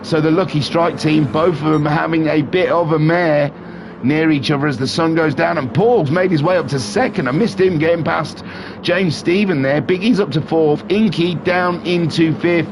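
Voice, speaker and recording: A man with a head cold and a nasal voice, excitedly commentating a sim race with jokey, rambling asides. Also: fairly high pitch, normal speed, noisy background. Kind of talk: live sim racing commentary.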